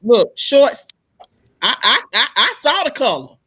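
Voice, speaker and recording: A woman with a loud speaking voice, talking animatedly in a casual group discussion, acting out the exchanges she retells. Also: sits high, a little slow, husky. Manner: animated storyteller, acts out quoted exchanges.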